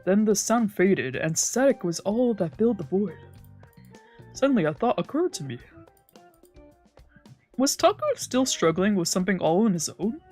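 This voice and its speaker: A woman streamer reading a visual novel aloud in expressive, dramatic narration with casual asides. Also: mid-range voice, moderate pace, pauses often. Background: game background music.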